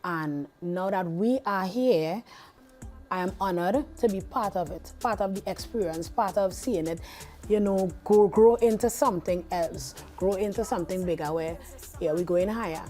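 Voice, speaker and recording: Trinidadian woman, relaxed and mellow. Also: on the low side, moderate pace, soft background music. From Trinidad and Tobago. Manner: relaxed, repeats phrases, Caribbean grammar. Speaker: music artist guest. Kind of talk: casual interview chat. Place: TV studio.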